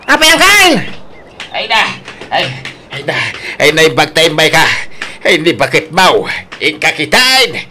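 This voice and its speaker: Fretful, bright-voiced woman, wildly expressive. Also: low voice, unhurried, long pauses, heavily acted. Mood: frantic alarm. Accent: Ilocano. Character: emotional, fretful grieving woman in a melodrama. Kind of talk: Ilocano radio drama acting. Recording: faint background noise.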